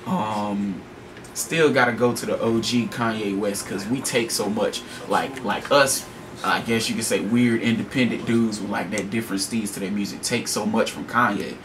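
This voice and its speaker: American guy on a radio interview, lowish voice, slangy n rambly, kinda off mic w noisy background. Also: normal speed, distant mic. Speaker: guest, independent hip-hop artist. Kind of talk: casual radio interview chat. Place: radio studio.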